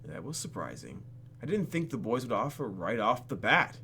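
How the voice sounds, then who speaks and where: Guy doing a let's play, sarcastic asides while reading, big swings in pitch, bit of hiss. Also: moderate pace, creaky. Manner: animated, sarcastic, exclaims and mutters. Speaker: YouTube gaming host. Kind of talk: let's play, reading game text aloud with joking asides.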